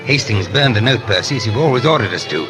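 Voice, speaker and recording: An actor in a 1930s radio drama playing an earnest, loyal English gentleman conspirator, urgent and deferential. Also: lowish voice, expressive, fast talker, natural voice. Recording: old 1930s radio recording with music.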